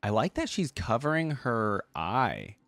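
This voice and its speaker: A man's voice: mid-range voice, sing-song, unhurried, a bit bright, no room sound. A man giving casual, unscripted reaction commentary as a video host, talking in an informal, slangy, enthusiastic way.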